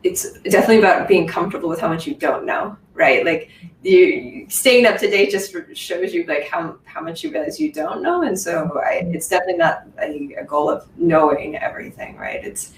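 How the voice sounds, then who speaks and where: Low-voiced woman, distant and roomy. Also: big pitch swings, normal speed. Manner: casual, many "like" and "right". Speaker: panelist. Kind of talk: conversational panel discussion. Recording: roomy, distant from the mic, faint rumble.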